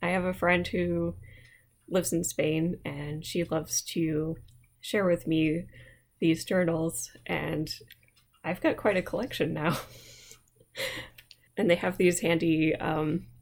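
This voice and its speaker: A woman's voice: on the low side, fairly even intonation, takes it slowly, gravelly, long pauses. An American woman hosting a casual online library talk, chatty and friendly with frequent asides. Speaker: library program host.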